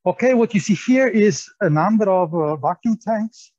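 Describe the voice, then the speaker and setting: Man giving a halting lab tour. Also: high voice, expressive, a little mellow, highs cut off. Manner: halting, many fillers and repeated words. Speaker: tour guide at a physics lab. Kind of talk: guided tour explanation. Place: large indoor lab hall.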